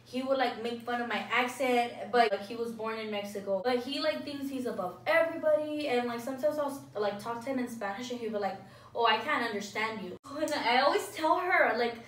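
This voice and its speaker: A woman's voice: fairly high pitch, brisk, fairly bright tone, recorded from a distance. A young woman vlogger telling a story casually, rambling and saying "like" constantly. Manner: rambling, fills speech with "like". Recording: echoey room, voice off-mic.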